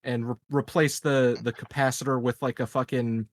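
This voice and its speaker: A man chatting casually as a player in a tabletop game, improvising ideas haltingly and repeating words. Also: medium pitch, little pitch movement.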